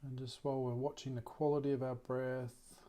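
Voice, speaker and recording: A man guides a meditation in a middle-pitched voice that stays flat and steady. His delivery is gentle and unhurried. He leaves long pauses between short phrases, as a teacher leading a practice.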